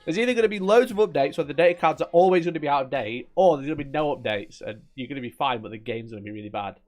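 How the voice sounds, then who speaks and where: A man's voice: a little high, rapid-fire, soft background music. A man with a Yorkshire accent, casually chatting at his desk on a live stream, reading viewers' messages, joking, teasing and laughing often. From Northern England.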